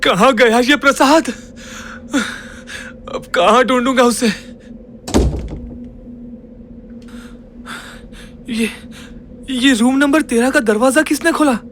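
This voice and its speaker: A man playing an anguished, bewildered young man in a horror audio drama, speaking in halting, melodramatic repetitions. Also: extremely high pitch, background noise, pitched above the performer's own voice. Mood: worried, searching.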